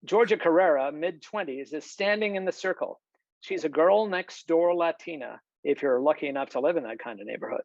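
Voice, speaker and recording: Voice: medium pitch, brisk, vocal fry, a little mellow, no room sound. A narrator reading scripted stage directions for a screenplay table read over a video call. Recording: dull, lo-fi video call audio.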